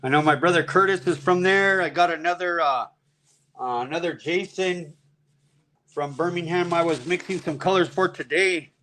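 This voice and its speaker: Easygoing folksy man, fairly high voice. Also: normal speed, sharp tone, slight background noise, poor audio. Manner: folksy, easygoing, thinking aloud while working. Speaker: custom painter hosting a live stream. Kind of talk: live painting demo chat. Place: paint shop.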